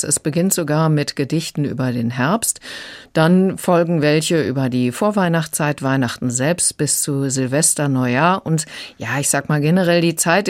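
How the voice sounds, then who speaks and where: A woman giving a conversational review as a critic in a radio discussion. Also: deep voice.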